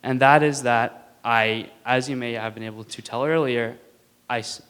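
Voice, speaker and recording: A man's voice: mid-range voice, steady tone, normal speed, a bit bright, clean tone. Young man who stutters, on stage. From India. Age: 20s. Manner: stutters, with repeated words and syllables; earnest, lightly joking with the audience. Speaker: student speaker. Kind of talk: prepared stage talk. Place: auditorium stage. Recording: long hall reverb.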